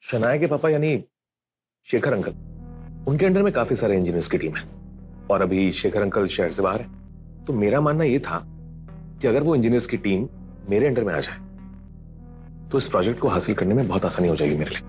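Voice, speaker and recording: A man's voice: lowish voice, big pitch swings, fairly quick, pauses often, darkish tone, soft background music. A man playing an ambitious, scheming grandson, a young businessman in an audio drama, speaking with calculation. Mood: smooth, calculating. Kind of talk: Hindi audio drama acting.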